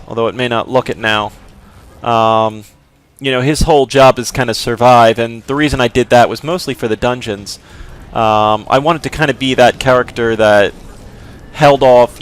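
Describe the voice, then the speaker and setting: A man casually commentating a game walkthrough, explaining as he plays, with a rambling, hedging way of talking. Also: medium pitch. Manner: rambling, lots of fillers and hedges. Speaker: gameplay commentator.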